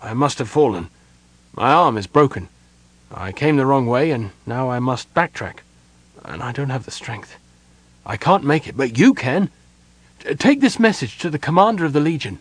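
A man's voice: mid-range voice, expressive, normal speed, no room sound, voice pushed up, lightly acted. A man voicing a young elf prince in a fantasy audiobook, impassioned, urgent and commanding. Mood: urgent, weary. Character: young male elf prince, anguished, imperious, impatient.

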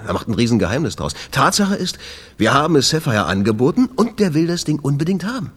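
An actor playing a troubled, exasperated jazz club owner in a radio play, talking in a confiding way. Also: male voice, a little high, sing-song, fast, fairly bright tone, husky, full character voice. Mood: worried, confiding.

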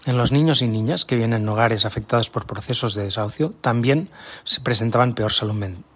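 A man with an accent from Spain reading a scripted statement in a formal, measured way. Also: mid-range voice, fairly bright tone, husky. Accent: Spanish, from Spain. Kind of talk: scripted statement read aloud.

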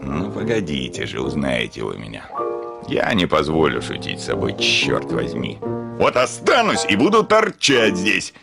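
Blustering, wildly expressive irascible landowner. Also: male voice, rumbling low, moderate pace, vocal fry, over music, full character voice. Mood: bitter, exasperated. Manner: theatrical grumbling, exclaiming, swinging from muttering to bellowing. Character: irascible, blustering male Russian landowner, indignant and exasperated. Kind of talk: Russian classic comedy radio play acting.

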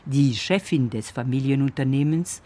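A woman narrating a scripted radio feature in an expressive, storytelling manner. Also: very deep voice, moderate pace.

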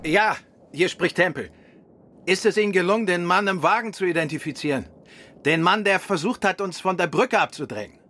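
An actor playing a suave, wry gentleman detective, courteous and in command, in a radio crime play. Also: high-pitched, a little fast. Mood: keen. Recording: clear vehicle noise behind.

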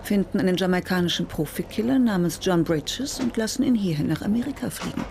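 An actress playing a tough, insistent prosecutor in a radio crime drama, firm and businesslike. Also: female voice, mid-range voice, lively intonation, fairly quick, gravelly, noisy background. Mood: hard bargaining.

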